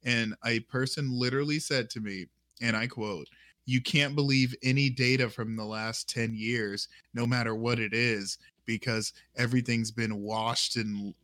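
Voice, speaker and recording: A man in a loose podcast conversation who cracks sarcastic jokes and laughs at his own lines. His voice sits at an ordinary middle pitch. The sound is dry and close, with no room echo. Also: fairly even intonation, a little slow, sharp tone. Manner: laughs often, sarcastic, joking. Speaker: podcast co-host. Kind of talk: casual chat.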